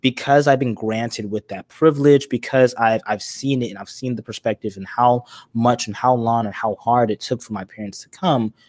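A man's voice: medium pitch, fairly even intonation, normal speed, few pauses, a little mellow, no room sound. A man hosting a casual podcast interview, asking questions in a rambling way full of fillers. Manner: rambling, many fillers like "you know". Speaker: podcast host.

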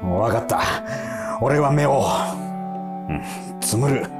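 A man's voice: mid-range voice, expressive, slow, loud music behind the voice. An actor in an audio drama playing a stern, blunt time patrol officer who is torn over his duty. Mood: grave, resigned. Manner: blunt, gruff, weighty speech.

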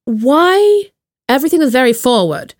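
A woman's voice: high voice, sing-song, moderate pace, no room sound, studio quality. A woman hosting a podcast, interviewing in a casual, chatty style. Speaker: podcast host.

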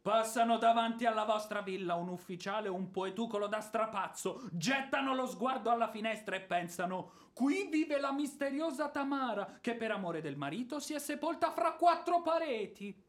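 Blustering ex-army officer, high-pitched, declamatory, sarcastic, indignant, theatrical radio play delivery. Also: male voice, animated, moderate pace, hardly any pauses. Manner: declamatory, sarcastic, prone to bellowing. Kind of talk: theatrical Italian radio drama acting.

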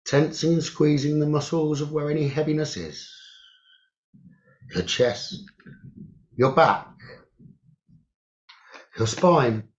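A man leading a guided meditation, giving gentle instructions in short phrases with long pauses, on a muffled recording. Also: a little high. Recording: muffled, dull lo-fi audio.

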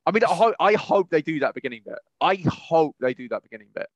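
A man's voice: on the high side, expressive, quick pace, no room sound, muffled recording. A man chatting casually as a podcast co-host in a rambling, enthusiastic way. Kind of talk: casual chat.

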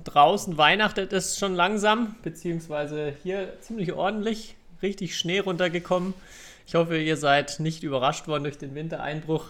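A man's voice: on the high side, steady tone, normal speed, a bit bright. A man hosting a podcast, chatting casually about sport. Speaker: podcast host. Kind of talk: casual chat on a badminton podcast.

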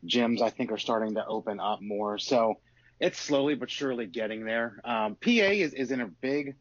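A man's voice: mid-range voice, expressive, moderate pace, dry recording, lo-fi sound. An American man chatting casually as a podcast host. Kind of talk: casual chat.

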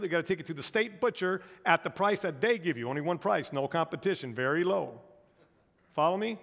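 A man's voice: sits high, creaky, fairly bright tone, long reverb tail. A man from the United States speaking as a guest missionary in a church, telling humorous anecdotes to the congregation. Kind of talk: storytelling sermon talk. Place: large church auditorium.

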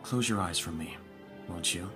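A low-voiced, lordly young vampire played by a man speaks in formal, measured phrases, imperious yet doting toward his servant. It is an audio roleplay with clear music playing behind the voice. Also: close to an everyday voice. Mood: tender, doting. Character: aristocratic young male vampire master, commanding yet doting. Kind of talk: ASMR audio roleplay acting. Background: background music.

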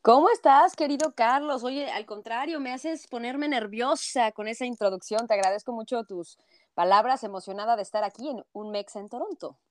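Lively woman with a sing-song Mexico City accent. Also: on the high side, moderate pace, no room sound. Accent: Mexico City Spanish, sing-song. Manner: lively, expressive, ends phrases with tag questions. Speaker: journalist guest. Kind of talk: interview.

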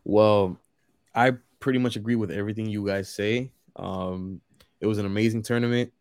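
A man from the Dominican Republic speaking calmly and earnestly on a casual sports podcast. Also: fairly low pitch, moderate pace, dry recording. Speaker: podcast co-host.

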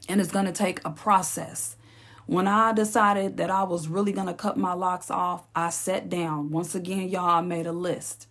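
A woman hosting her own podcast, speaking in a slow, encouraging, reflective way, with a raspy voice and features. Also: lowish voice. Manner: slow, encouraging, repeats phrases for emphasis. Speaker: podcast host. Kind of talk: reflective solo podcast talk.

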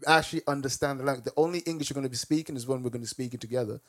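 A man's voice: medium pitch, fairly bright tone. A young man co-hosting a podcast in casual chat, upbeat and full of slang. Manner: upbeat, slangy, quick agreeing. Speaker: podcast co-host.

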